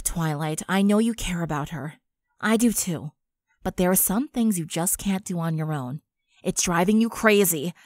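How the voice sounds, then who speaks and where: A woman voicing a concerned, firm but caring young friend in a measured, earnest way for a fan fiction drama. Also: mid-range voice, no room sound, studio quality, lightly acted.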